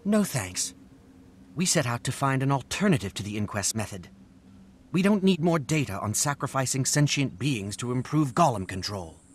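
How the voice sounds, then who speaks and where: A man voicing a matter-of-fact, analytical gnomish scientist in a video game, with a clinical, measured manner. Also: mid-range voice, very animated, slight background noise, natural voice. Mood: firm. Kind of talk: video game cutscene voice acting.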